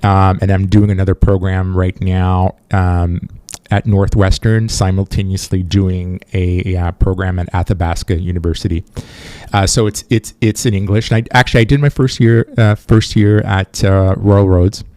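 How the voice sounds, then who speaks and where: A man's voice: low-pitched, steady tone, normal speed, dark tone, clean tone, dry recording. A man whose first language is French, hosting a solo podcast on leadership in a conversational way, with frequent restarts and "right?" tags. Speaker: podcast host.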